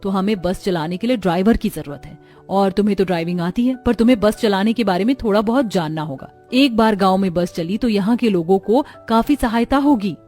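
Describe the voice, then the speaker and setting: A woman's voice: medium pitch, fairly quick, warm tone, faint music behind, lightly acted. A woman voicing an educated, resolute young city wife in a cartoon story. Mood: persuasive.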